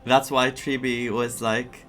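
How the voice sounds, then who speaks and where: A man giving casual, reflective playthrough commentary as a streamer, haltingly and repeating his phrases. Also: medium pitch, level tone, fairly bright tone. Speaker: gaming streamer. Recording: game music behind the voice.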